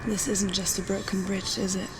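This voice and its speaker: An actress playing a haunted, determined young warrior woman in a video game, hushed and intense, with a breathy voice. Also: mid-range voice, little pitch movement, normal speed, noisy background, natural voice. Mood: reflective, resolute. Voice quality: breathy, whispery. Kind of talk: dramatic video game acting. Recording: loud music under the voice.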